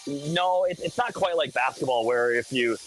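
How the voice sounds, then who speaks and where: A man's voice: mid-range voice, normal speed, a bit bright, slight background noise, rough recording. A man talking casually as a hockey radio announcer, explaining things conversationally in a live game-day report. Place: high broadcast booth inside a hockey arena.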